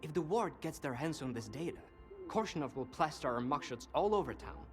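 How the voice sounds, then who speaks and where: A man's voice: fairly high pitch, animated, fairly quick, soft background music, close to an everyday voice. An actor playing a cynical, worldly male informant in a video game, talkative and sardonic, with an insinuating conversational manner. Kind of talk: video game dialogue acting.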